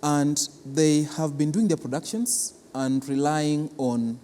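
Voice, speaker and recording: A man's voice: a little high, steady tone, dark tone. Kenyan guy explaining stuff in an interview, repeats himself a lot, echoey hall, some noise behind. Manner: halting, repeats words for emphasis. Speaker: cultural institution official being interviewed. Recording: reverberant hall with clear background noise.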